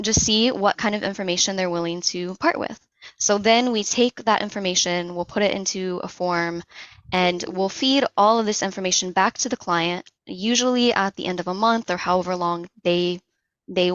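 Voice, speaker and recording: A woman's voice: mid-range voice, normal speed, a bit bright, smooth, no echo, lo-fi sound. A woman being interviewed, explaining her work step by step in a conversational way with examples. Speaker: interview guest, social engineering analyst.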